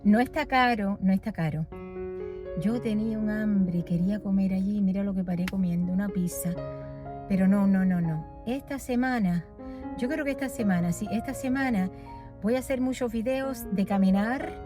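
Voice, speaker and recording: A talkative woman films a casual walking vlog on a street corner at night, hopping from one topic to the next. Clear background music plays under her voice, and her pitch sits in the middle range. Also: moderate pace. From raised in Los Angeles. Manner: chatty, rambling, changes topic often, laughs at herself. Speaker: vlogger.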